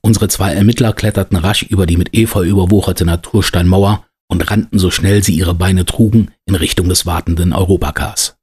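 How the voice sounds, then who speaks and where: A man playing the narrator of a detective audio drama, telling it as a measured, mock-earnest storyteller. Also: deep voice, steady tone, a little mellow, husky. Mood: storytelling. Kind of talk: scripted narration.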